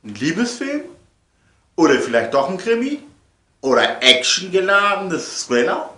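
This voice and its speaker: A man's voice: high-pitched, very animated, measured pace, sharp tone. A man hosting a comedic monologue to viewers, theatrical and repeating phrases for emphasis. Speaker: host of an online show. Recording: echoey room sound.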